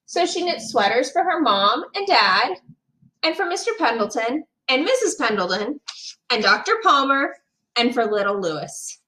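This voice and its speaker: A woman reading a picture book aloud as a teacher would, expressive and emphatic for young children. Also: high-pitched, normal speed, low-quality recording.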